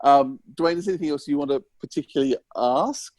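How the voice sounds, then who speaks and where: A man hosting a podcast interview, talking earnestly and haltingly as he searches for words. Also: a little high, a little mellow, no echo. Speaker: podcast host.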